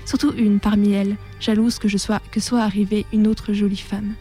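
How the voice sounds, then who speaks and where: A young woman reading a scripted personal testimony in a measured, composed, literary way. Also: medium pitch, level tone, loud music behind the voice. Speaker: narrator of a personal testimony on a radio show. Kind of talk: scripted first-person narration, literary testimony read aloud.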